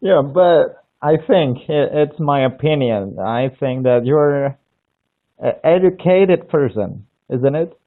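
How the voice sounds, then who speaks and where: A man from Indonesia, speaking English with an Indonesian accent in casual chat, halting and restarting often as he searches for words. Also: a little high, lively intonation. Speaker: language learner on a live video call. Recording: tinny phone-like line.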